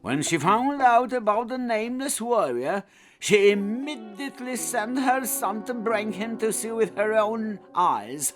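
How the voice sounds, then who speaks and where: A man reading a scripted children's story as its narrator. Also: high-pitched.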